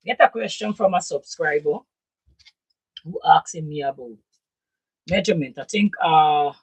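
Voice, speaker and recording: A hoarse woman, chatting casually through a live sewing tutorial, repeating her points and often checking in with "right?". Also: low-pitched, moderate pace, no room sound. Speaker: sewing and pattern-drafting teacher. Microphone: camera set up in front of her.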